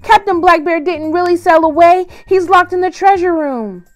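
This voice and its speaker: A woman's voice: very high-pitched, sing-song, measured pace, no room sound, voice pushed up, full character voice. A woman voicing a scheming male parrot who makes himself captain of a pirate ship, in a storybook reading. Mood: pleading. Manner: loud squawking exclamations.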